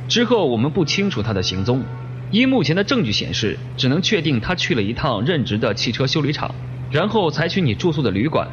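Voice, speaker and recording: A man's voice: a little high, very animated, brisk, music in the background. A man playing a blunt, matter-of-fact police detective in a radio drama. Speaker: voice actor. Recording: dull lo-fi, noisy, reverberant hall.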